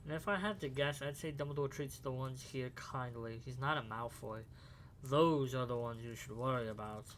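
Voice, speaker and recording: A man playing a principled, thoughtful young wizard student in a fan audio drama, speaking in a calm, measured way. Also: mid-range voice, slight background noise, close to an everyday voice, poor audio. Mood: earnest.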